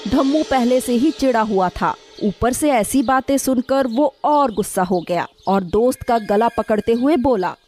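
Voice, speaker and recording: A woman's voice: fairly high pitch, unhurried, hardly any pauses, a little mellow. A woman narrator reading a scripted children's moral story in the manner of a storyteller. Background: background music.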